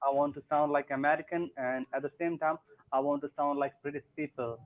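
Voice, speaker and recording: A man's voice: on the high side, fairly even intonation, a little fast. A young man who is learning English, talking hesitantly with many fillers on an online tutoring call. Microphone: video call. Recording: tinny phone-like call audio.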